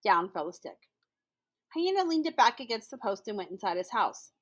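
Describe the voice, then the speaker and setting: A woman's voice: medium pitch, very animated, fairly bright tone. A woman reading a children's picture book aloud expressively, stressing words and drawing out repetitions for effect. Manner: emphatic, with drawn-out repetitions for effect. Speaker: school storyteller.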